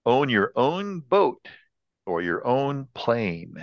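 A man's voice: medium pitch, sing-song, very slow, no echo, lo-fi sound. An American man, middle-aged or older, co-hosting a casual podcast, chatty and anecdotal with a joking manner. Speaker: podcast co-host. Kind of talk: casual chat.

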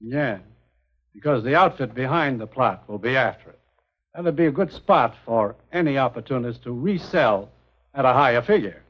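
Animated, authoritative American commissioner, played by a man. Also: mid-range voice, normal speed, close to an everyday voice. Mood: businesslike. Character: businesslike, authoritative male intelligence commissioner. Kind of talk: 1950s television spy drama acting. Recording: dull vintage TV soundtrack.

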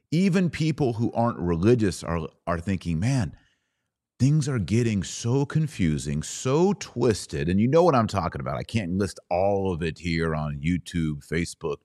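A man with a low, deep voice speaks as a religious podcast host. His delivery is earnest and emphatic, setting out phrases deliberately as he explains and reads aloud. The sound is dry, with very little room to it. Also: a little mellow, no echo.

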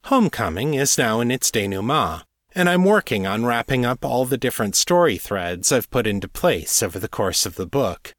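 A man presents his podcast update with very animated intonation. He speaks articulately and earnestly at a middling pitch, as an author addressing his listeners. The sound is close and dry. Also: moderate pace, few pauses, no echo. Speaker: podcast host and fiction author. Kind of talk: scripted solo podcast update.

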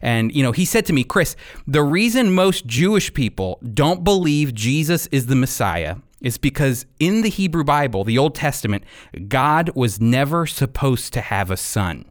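A man hosting a radio program and teaching from the Bible in an earnest, deliberate, emphatic way. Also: medium pitch, very animated, dry recording, studio quality.

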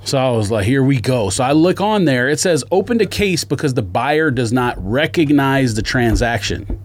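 A man's voice: medium pitch, expressive, moderate pace, slight background noise. A man telling a story as a casual podcast rant, slangy and exasperated, acting out both sides of exchanges. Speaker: sneaker podcast co-host.

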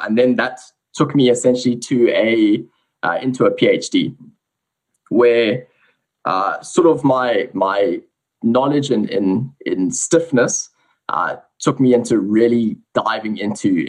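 South African man with a low voice. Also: unhurried, poor audio. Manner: relaxed, explanatory storytelling. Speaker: guest researcher. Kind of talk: casual podcast interview.

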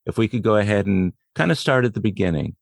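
A man hosting an interview podcast, speaking in a measured, articulate way. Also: on the low side, expressive, vocal fry, no room sound, studio quality. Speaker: podcast host. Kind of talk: scripted introduction, then interview.

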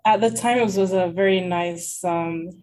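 A woman's voice: on the low side, normal speed, darkish tone, slight background noise. A young woman raised in Lebanon, talking as a guest in a casual interview, hesitant and full of fillers. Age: young adult.

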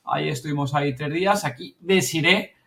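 A man's voice: fairly high pitch, brisk, gravelly, a bit bright. A man with a Spanish accent from Spain in a casual group recap, often checking for agreement as he talks. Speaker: member of an audio fiction team recapping events.

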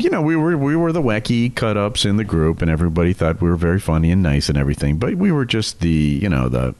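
A middle-aged American man co-hosting a comedy podcast, telling stories casually in a teasing, animated way. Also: low voice. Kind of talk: casual comic storytelling.

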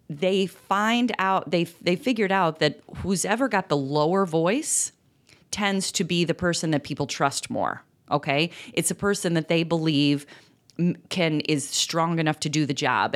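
Woman, low voice, podcast co-host, earnest casual explaining, middle-aged. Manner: earnest, explaining with many fillers and restarts. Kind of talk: casual conversational discussion.

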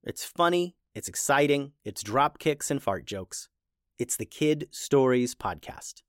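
A man's voice: medium pitch, lively intonation, unhurried. A man reading a scripted promo for a children's podcast. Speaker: promo announcer.

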